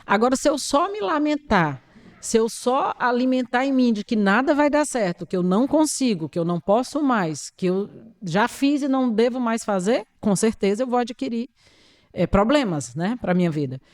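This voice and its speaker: A woman's voice: on the high side, lively intonation, normal speed. A woman, an occupational therapist answering interview questions in an earnest, emphatic, explanatory way.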